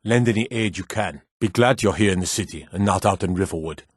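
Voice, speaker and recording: Low, gruff fantasy town guard. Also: male voice, fairly quick, no echo, studio quality. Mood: watchful, matter-of-fact. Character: stern, world-weary male town guard of a Nordic fantasy realm. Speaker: game character voice. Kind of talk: scripted fantasy video game dialogue.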